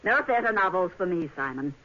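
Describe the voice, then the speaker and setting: A woman's voice: fairly low pitch, animated, a little fast, pushed and bright. An actress playing a poised, cool, worldly lady novelist in a 1950s radio drama, on a muffled vintage broadcast. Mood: breezy, social.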